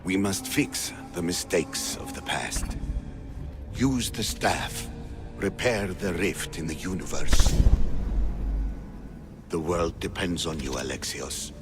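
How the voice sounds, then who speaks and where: A man playing a solemn, ancient father figure in a video game cutscene, speaking in a slow, portentous, gravelly way. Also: deep voice. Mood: urging. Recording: loud music under the voice.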